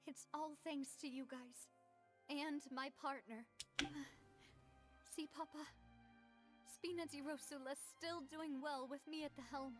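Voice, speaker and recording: Earnest young game heroine, high-voiced. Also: female voice, fairly even intonation, unhurried, pauses often, over loud music. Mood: relieved, proud. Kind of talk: anime game voice acting.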